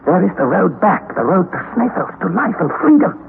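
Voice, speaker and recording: An actor playing an anxious young nephew who narrates a perilous expedition in an earnest, theatrical old-time radio style. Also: male voice, sits high, big pitch swings, moderate pace, creaky, exaggerated voice. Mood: uneasy. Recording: tinny, noisy old radio recording.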